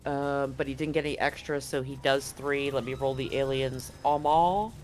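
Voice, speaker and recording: A game master running a live tabletop roleplaying game, narrating and bantering excitably and repeating phrases for emphasis. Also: mid-range voice, moderate pace, rough recording. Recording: music behind the voice. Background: background music.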